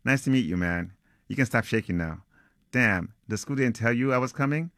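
A man's voice: medium pitch, animated, moderate pace, fairly bright tone, dry recording, clean studio sound, pitched above the performer's own voice. A man reading a novel aloud, voicing a cocky young American jazz musician with slangy, playful, teasing talk. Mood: breezy teasing. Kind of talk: novel reading, acted dialogue.

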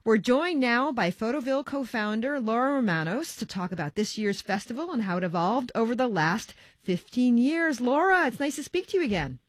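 Woman, radio host, measured, scripted, dry studio sound. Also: a little high, animated, no room sound. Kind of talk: radio interview hosting.

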